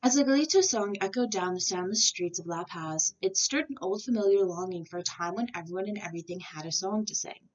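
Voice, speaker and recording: A woman reading a children's storybook aloud in an expressive narrating style. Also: medium pitch, a little fast, few pauses, distant mic, dull audio. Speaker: storybook reader.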